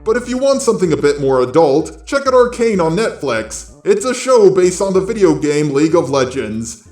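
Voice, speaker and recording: Sarcastic higher-pitched man, roomy sound. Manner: sarcastic, profane. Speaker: video essay host. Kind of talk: scripted opinionated commentary.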